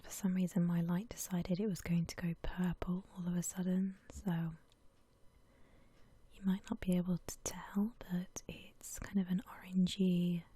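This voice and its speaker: A woman speaks in a very breathy, soft whisper with a dark, mellow tone. She keeps it gentle and unhurried, staying within a narrow, even range as she chats casually in ASMR style. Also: lowish voice. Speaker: ASMR video creator. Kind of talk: whispered ASMR, casual makeup chat voice-over.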